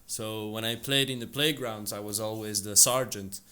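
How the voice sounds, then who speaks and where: Venezuelan-accented man chatting relaxed. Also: lowish voice. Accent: Venezuelan Spanish. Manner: relaxed, laughs easily, trailing pauses. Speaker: guest entrepreneur. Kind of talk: casual interview.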